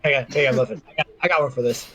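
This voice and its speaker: A man's voice: mid-range voice, very fast, a bit bright, soft background music. A man playing a tabletop role-playing game, playful and prone to restarting his sentences. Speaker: player. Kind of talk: casual tabletop game chat.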